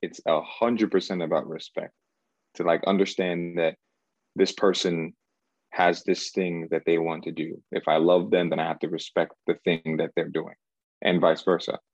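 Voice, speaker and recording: Man in his thirties, very deep voice, gravelly, hesitant and searching, dull dry lo-fi sound. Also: little pitch movement, moderate pace, dry recording. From Cameroon. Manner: searching, hesitant, repeats himself and says "like" often. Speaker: interview guest. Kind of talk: reflective conversational interview.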